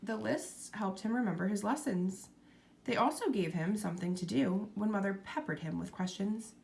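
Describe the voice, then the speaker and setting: A woman reading a children's storybook aloud as narrator, in an expressive scripted style. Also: mid-range voice, normal speed, gravelly.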